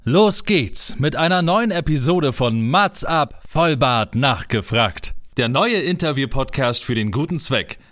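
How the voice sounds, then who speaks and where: A man reading a scripted show intro as announcer, drawing the words out in a slow announcing style. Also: medium pitch, lively intonation, a bit bright, dry recording, clean studio sound.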